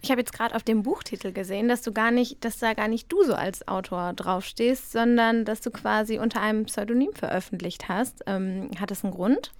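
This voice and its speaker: A woman's voice: mid-range voice, brisk, hardly any pauses, no room sound. A woman interviewing an author, asking cheerful, curious questions.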